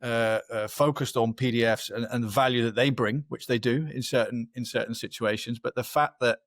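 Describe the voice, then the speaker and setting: A man's voice: mid-range voice, fairly even intonation, fairly quick, no room sound, studio quality. A man giving explanatory answers as a company representative on a webinar panel, in long, qualified sentences.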